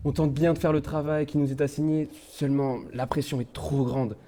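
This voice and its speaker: Mellow-voiced, uneasy male space engineer played by a man. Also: fairly high pitch, fairly even intonation, a little fast, slight background noise, close to an everyday voice. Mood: anxious, confiding. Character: male ship engineer, anxious officer under mounting strain. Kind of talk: amateur audio drama, recorded log.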